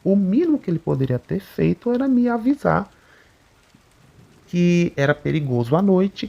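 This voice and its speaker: A man narrating a first-person supernatural account in a conversational, unhurried way, often adding little tag questions. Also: a little high, gravelly, warm tone. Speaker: channel narrator reading a listener's account. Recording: muffled recording with faint rain behind.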